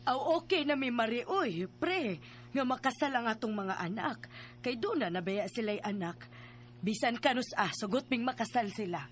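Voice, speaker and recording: A woman's voice: a little high, animated, moderate pace, natural voice. A woman playing an outspoken, matter-of-fact female figure in a radio drama. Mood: agreeable.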